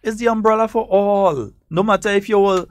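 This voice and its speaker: A man's voice: sits high, fairly quick, dry recording. A man with a Trinidadian Grenadian accent, hosting a political talk show in a studio, emphatic and defiant, repeating points for effect. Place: radio studio.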